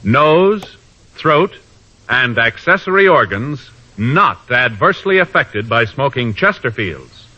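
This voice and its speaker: A man's voice: medium pitch, very animated, takes it slowly, sharp tone. A male announcer with an American accent in emphatic, punchy 1950s radio style. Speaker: show and commercial announcer. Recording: dull old radio recording.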